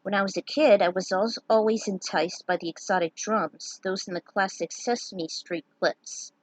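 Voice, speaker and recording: A woman's voice: mid-range voice, moderate pace, dry recording, low-quality recording. A woman talking casually about her fan fiction story and sharing her notes as a writer. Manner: chatty, sign-off upbeat.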